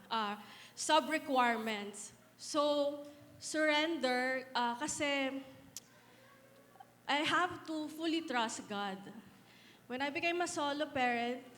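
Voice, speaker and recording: A woman in her thirties shares a faith testimony in a fairly high, soft, reflective voice. Her speech mixes English and Filipino. A long hall reverb trails behind her, with faint noise. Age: 30s. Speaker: guest speaker, solo parent.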